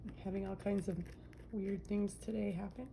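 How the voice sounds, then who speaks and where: A woman's voice: medium pitch, flat delivery, moderate pace, vocal fry, dark tone, slight background noise, rough recording. A woman with a nasal voice, casually narrating each step of a crafting demonstration. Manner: chatty, narrates each step while working.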